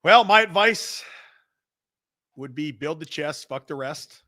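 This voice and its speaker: A man with a Chicago accent hosting a live show, loud and profane, bantering and reading out the chat. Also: a little high, lively intonation, slow. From Midwestern United States. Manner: loud, rowdy and profane, with bursts of laughter.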